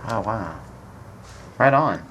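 A man's voice: on the low side, animated, takes it slowly, muffled recording, noisy background. A man co-hosting a radio interview, laid-back and casual. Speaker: radio co-host.

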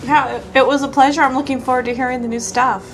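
A woman's voice: sits high, flat delivery, fast, over music. Woman radio host asking questions. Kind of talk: casual interview.

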